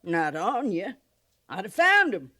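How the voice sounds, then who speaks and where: A woman's voice: mid-range voice, big pitch swings, slow pace, pushed and bright, heavily acted. An actress playing a canny, sardonic old frontier trader woman with a rustic American accent, folksy and blunt, in a 1950s radio western. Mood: probing. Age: older. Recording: noisy vintage 1950s radio recording.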